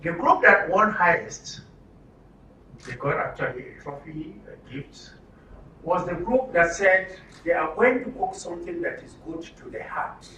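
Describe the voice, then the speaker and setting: High-pitched Nigerian man leading a workshop. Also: lively intonation, measured pace, sharp tone. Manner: instructive, using rhetorical questions and repetition. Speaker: workshop facilitator. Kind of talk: live workshop talk to a group. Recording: roomy, distant mic with some noise.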